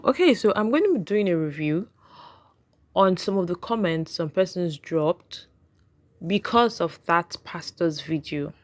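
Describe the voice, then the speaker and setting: A woman hosting her channel, introducing a review of comments in a chatty way. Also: sits low. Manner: chatty, with fillers. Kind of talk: casual vlog intro.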